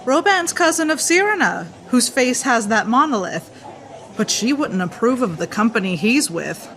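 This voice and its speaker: A woman's voice: fairly high pitch, big pitch swings, normal speed, fairly bright tone, rough recording. An actress playing a gossipy, dramatic, loyal theatre-going woman in a radio play, declaiming rhymed verse rhythmically. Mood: confident.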